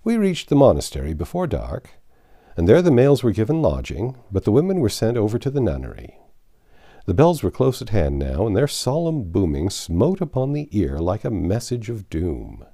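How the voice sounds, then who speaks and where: Male audiobook narrator, lowish mellow voice, expressive literary read. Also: normal speed, gravelly, dry recording, studio quality.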